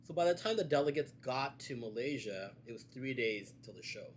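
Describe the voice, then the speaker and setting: A man speaking as a guest in a casual conversation, measured and hesitant with frequent fillers. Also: medium pitch, lively intonation. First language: Cantonese. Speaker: guest, events and media producer. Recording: faint background noise.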